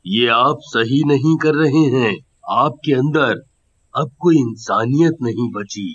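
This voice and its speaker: Man acting a slow, solemn old holy man. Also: mid-range voice, fairly bright tone, husky, dull audio. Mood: grave, reproachful. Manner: grave, measured, admonishing. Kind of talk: Hindi animated moral story voice acting.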